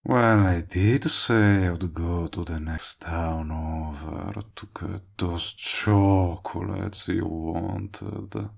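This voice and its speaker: A man's voice: sits low, full character voice. A man playing a smug, possessive, lovestruck villain in a romantic comedy audio roleplay, talking to the listener in a sardonic, persuasive way. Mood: earnest, wheedling. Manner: smooth-talking, sardonic, drawn-out emphatic phrasing. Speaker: voice actor.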